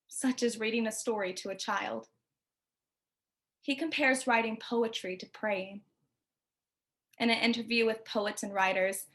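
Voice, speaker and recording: A woman's voice: fairly high pitch, a bit bright. A woman reading scripted narration as the host of a poetry feature.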